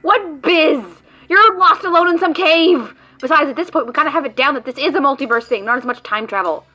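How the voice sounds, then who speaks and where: A woman's voice: sits high, brisk, bright, forceful tone, dull audio, faint music behind. A woman voicing a sarcastic, exasperated female guide who swears often, in a fan audio drama.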